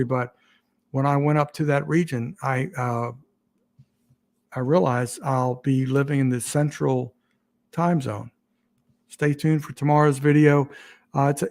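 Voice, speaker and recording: A man hosting a casual livestream, rambling and halting with many restarts, his voice getting raspy. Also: a little high, a little mellow. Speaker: YouTube channel host.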